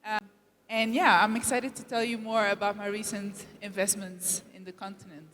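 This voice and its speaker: A woman's voice: medium pitch, little pitch movement, normal speed, a bit bright. A woman from Ethiopia introducing herself on a conference panel, upbeat and informal. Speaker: investor, panelist. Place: conference stage. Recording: echoey hall.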